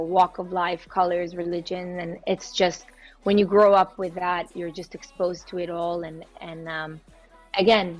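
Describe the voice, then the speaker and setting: A young woman from Israel speaks with a low, warm voice as an interview guest. She answers in long, flowing stretches with easy fillers and sounds proud. Soft music plays faintly behind her. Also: dull audio. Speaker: guest singer. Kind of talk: casual interview chat.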